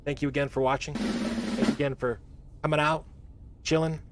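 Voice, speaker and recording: A man's voice: a little high, flat delivery, normal speed, over loud music. A man streaming live, chatting casually in a laid-back, rambling way with slang, his voice a little worn. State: voice getting worn. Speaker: streamer reacting to a game presentation. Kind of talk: casual livestream chat.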